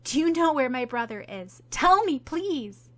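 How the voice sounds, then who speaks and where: A woman's voice: high voice, big pitch swings, measured pace, fairly bright tone, pitched above the performer's own voice, heavily acted. A woman voicing an eager young girl who talks in a raised, urgent way, worried about her missing brother. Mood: pleading.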